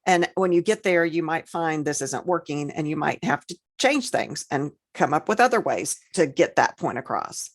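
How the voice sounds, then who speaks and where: A woman's voice: low-pitched, animated, moderate pace, hardly any pauses. A woman co-hosting a podcast, giving casual conversational advice with plain examples and an agreeable manner. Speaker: podcast co-host.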